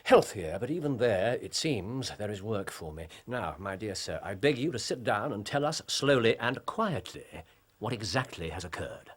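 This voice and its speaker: Casting: incisive English gentleman sleuth for radio play, commanding, precise, highly animated intonation. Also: normal speed, slightly rough. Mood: courteous. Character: brisk, commanding, theatrical gentleman detective. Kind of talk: audio drama acting.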